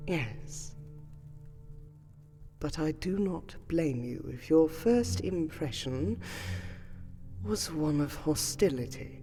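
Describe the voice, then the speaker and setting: A woman voicing a gracious, ancient vampire lady in a fantasy roleplay audio, speaking formally and soothingly to the listener with a wistful, breathy voice. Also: low-pitched, warm tone. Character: gracious ancient vampire lady, noble keeper of a magical sanctum.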